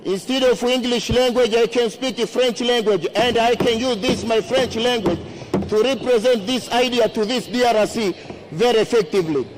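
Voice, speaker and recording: High-voiced man, Tanzanian accent, native Swahili speaker, formal parliamentary candidate speech, deliberate, faint background noise. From Zanzibar, Tanzania.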